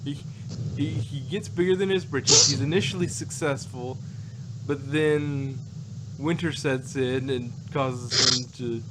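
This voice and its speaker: Male co-host, casual and halting, unhurried pace, recorded with loud rumbling noise behind him. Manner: halting, repeats words and trails off. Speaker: podcast co-host reviewing audio dramas. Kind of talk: casual chat.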